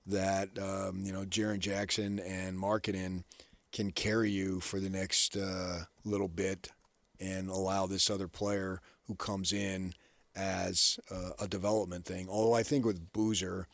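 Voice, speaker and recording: Low-pitched American man talking sports. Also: level tone, unhurried, no echo. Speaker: sports radio co-host. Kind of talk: casual sports talk commentary.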